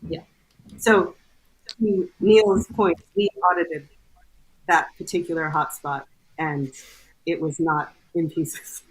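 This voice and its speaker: Low, husky-voiced woman explaining. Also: low-quality recording. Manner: measured, explanatory. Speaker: guest, hardware audit committee member. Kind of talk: casual podcast chat.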